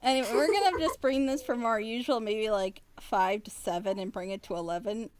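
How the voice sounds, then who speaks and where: A woman hosting a casual group reaction chat in a playful, excitable way, talking over a group call. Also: on the high side, a little fast. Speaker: host, co-director of a fan audio drama.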